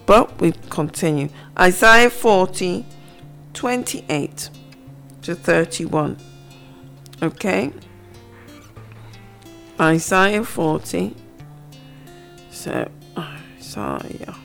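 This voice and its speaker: Female radio Bible teacher, unhurried and reflective, soft guitar bed under her. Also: lowish voice, expressive, gravelly. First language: not Hebrew. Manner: slow, reflective, reads scripture aloud. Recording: faint guitar music behind. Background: soft guitar music.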